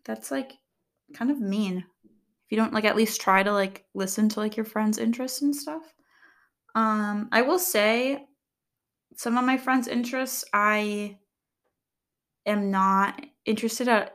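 A young woman talks through a quiz in a soft, calm voice, reading each question and then rambling about her answer. Her speech is loose and casual, full of fillers, and she jokes at her own expense. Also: on the high side. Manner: chatty, rambling, filler-heavy, self-deprecating humor. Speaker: vlogger.

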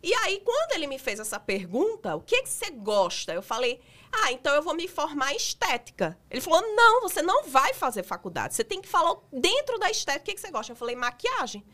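Lively Northeastern Brazilian woman, slightly hoarse. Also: sits high, fast. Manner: lively, chatty storytelling with laughter. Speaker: guest, makeup artist. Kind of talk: podcast interview.

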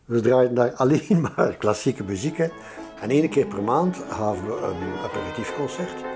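Older male interviewee, Flemish accent, lively anecdotal delivery, faint music underneath. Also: medium pitch, moderate pace. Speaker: interview guest recounting his past. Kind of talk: informal spoken reminiscence.

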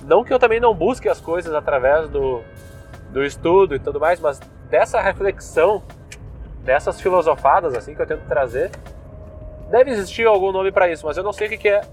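A man's voice: fairly high pitch, animated, fairly quick, faint music behind. A young man from southern Brazil with a Gaúcho accent, a podcast host rambling casually with loose slangy talk and many fillers while sitting in his car. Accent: Gaúcho Brazilian Portuguese. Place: inside a car.

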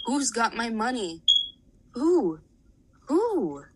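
A man voicing the ghost of a dead woman who wails with drawn-out, moaning cries in a read-aloud story. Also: very high-pitched, very animated, slightly rough, voice pushed up, full character voice. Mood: wailing.

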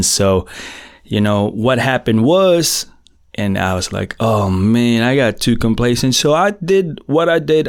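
A middle-aged Filipino man whose first language is Tagalog talks easily through a casual solo podcast, full of slang and fillers. His voice sits low and comes across smooth and clean. The sound is dry and close, with no room around it. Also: no room sound. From Philippines. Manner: relaxed and chatty, with slang and many fillers. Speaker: podcast host.

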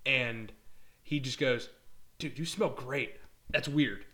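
A man's voice: mid-range voice, slow, pushed and bright. A young man co-hosting a podcast, chatting casually and joking about himself with a rambling, self-mocking manner. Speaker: podcast co-host. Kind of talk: casual chat.

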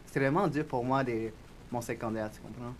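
A young man with a Spanish accent, a guest on a podcast interview, talking in a soft, reflective, shy way. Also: mid-range voice, fairly quick, slight background noise. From Spanish-speaking country. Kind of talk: casual interview chat.

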